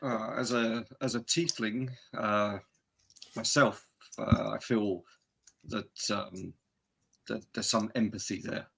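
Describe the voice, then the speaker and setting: A man playing a tabletop roleplaying game, describing his character's actions in a hesitant, halting way with a rough, gravelly voice. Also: lowish voice, steady tone, bright, forceful tone.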